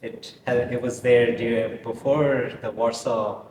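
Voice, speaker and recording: A man's voice: medium pitch, fairly even intonation, measured pace, darkish tone. A man from Bangladesh, a guest artist in a casual interview, who speaks hesitantly with many fillers and restarts in an old building. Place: old pre-war building in Poland. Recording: distant mic, long reverb, noisy ambience.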